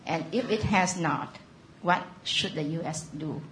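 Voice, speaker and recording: Female audience questioner, polite and deliberate, slightly low register, roomy sound with faint background noise. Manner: deliberate, polite, pausing between phrases.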